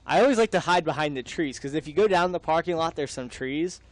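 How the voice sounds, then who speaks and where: A man's voice: fairly high pitch, lively intonation, fast talker. A young man doing live baseball play-by-play, mixing the calls with casual banter. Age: young adult.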